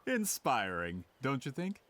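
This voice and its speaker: Formal, persuasive employer, very animated delivery. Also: male voice, medium pitch, unhurried, a little hiss or hum. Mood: polite, persuasive. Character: smooth-talking, courteous story collector and employer, persuasive. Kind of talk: video game voice acting.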